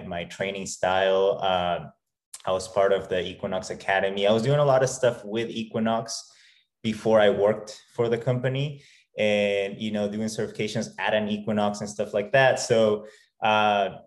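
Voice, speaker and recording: A man's voice: lowish voice, flat delivery, normal speed. A man from Peru, a fitness trainer being interviewed, who talks casually with many fillers and restarts.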